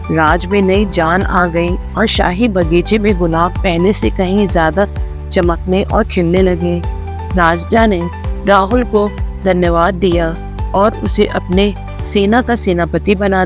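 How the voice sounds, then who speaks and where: A storyteller narrates a scripted children's fairy tale. The voice sits at a middling pitch. Music plays clearly underneath throughout. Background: background music.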